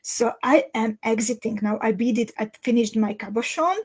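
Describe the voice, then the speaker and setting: A woman in her thirties with a Hungarian accent, a native Hungarian speaker, chatting casually and haltingly as she hosts a livestream. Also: a little high, a little mellow, slightly rough. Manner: halting, thinking aloud. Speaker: host of a live beading tutorial. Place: small indoor craft room.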